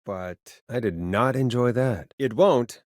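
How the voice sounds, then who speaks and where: A man's voice: mid-range voice, slow pace, no echo, clean studio sound, close to an everyday voice. An actor playing a sardonic, easily exasperated male late-night radio host in a video game, with a wry, conversational delivery. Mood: apologetic, uneasy.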